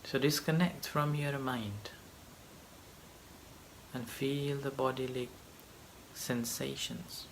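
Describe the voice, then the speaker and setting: Slow-spoken male meditation teacher. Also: mid-range voice, fairly even intonation, gravelly, slight background noise. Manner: halting short phrases with long pauses. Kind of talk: guided meditation, slow gentle instruction.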